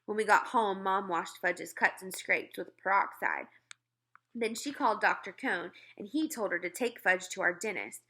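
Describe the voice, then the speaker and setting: A woman narrating a children's novel as an animated read-aloud. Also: medium pitch, moderate pace. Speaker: storybook reader.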